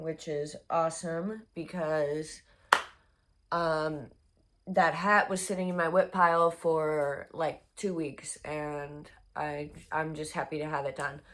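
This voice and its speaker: A young woman chatting casually in a crochet vlog, her voice hoarse and congested, rambling with many fillers. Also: low-pitched, little pitch movement, slow, a bit bright. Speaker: crochet vlogger.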